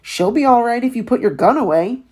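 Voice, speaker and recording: A woman's voice: fairly high pitch, expressive, fairly quick, warm tone, pitched above the performer's own voice. A woman voicing an earnest, worried young farm boy in a storybook, pleading and full of questions. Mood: alarmed, wary. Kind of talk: storybook read-aloud character voice.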